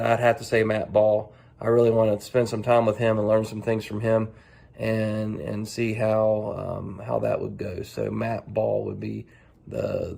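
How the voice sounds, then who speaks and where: A man speaking casually and haltingly as an angler answering a set of profile questions, restarting phrases as he goes. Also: fairly low pitch, gravelly, a little mellow, slightly rough.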